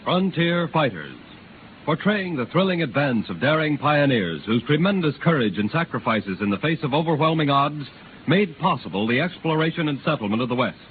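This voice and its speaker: A man's voice: fairly high pitch, sing-song, moderate pace. A man giving grand, declamatory scripted narration as a 1930s radio announcer. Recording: scratchy tinny 1930s radio recording.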